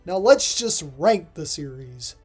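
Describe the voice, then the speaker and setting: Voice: mid-range voice, very animated, takes it slowly, darkish tone, slightly rough, faint music behind. A reviewer narrating a scripted video review in a voice-over.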